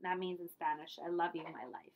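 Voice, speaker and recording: A woman's voice: fairly low pitch, flat delivery, a little fast, slight background noise. A woman reading a children's picture book aloud as a librarian, with dramatic storytelling emphasis and friendly asides.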